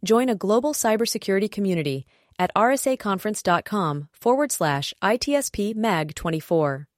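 A woman reading a short scripted promo in a bright promotional delivery. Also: medium pitch, animated, a little fast, no echo, clean studio sound. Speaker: ad announcer. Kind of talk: scripted ad read.

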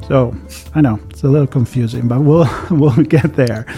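A man's voice: mid-range voice, normal speed, warm tone, faint music behind. A man hosting a podcast interview over a video call, talking in a rambling, chatty way with many restarts. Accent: Italian. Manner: rambling, with frequent restarts and fillers. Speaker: podcast host. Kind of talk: conversational interview.

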